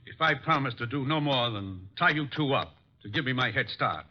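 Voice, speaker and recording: A muffled old radio recording has a man acting a cornered murderer. His mid-pitched voice is terse and tense, a hard criminal desperate to bargain his way out. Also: expressive, moderate pace, natural voice. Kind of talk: 1940s-50s radio drama acting.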